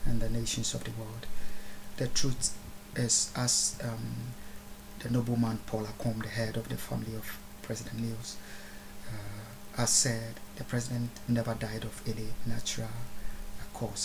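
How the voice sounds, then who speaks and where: A man from Ghana with a Ghanaian accent giving a solemn, formal, oratorical address, halting with long pauses and deliberate repeated phrases. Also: medium pitch, completely flat delivery, creaky, background noise. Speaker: speaker recording a video address. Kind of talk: solemn public address, unscripted.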